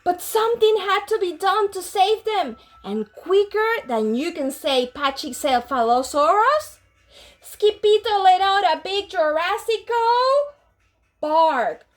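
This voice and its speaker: A woman with a very high, lively voice gives a children's read-aloud. She takes her time, tells it dramatically and switches between English and Spanish. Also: takes it slowly. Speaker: storybook reader, teacher.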